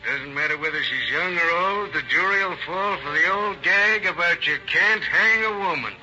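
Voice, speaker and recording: Guy doing wry skeptical old-time reporter, highish sharp voice, muffled radio drama. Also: normal speed, background noise, lightly acted. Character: jaded, skeptical male newspaperman. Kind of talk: 1930s radio acting. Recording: dull old radio recording.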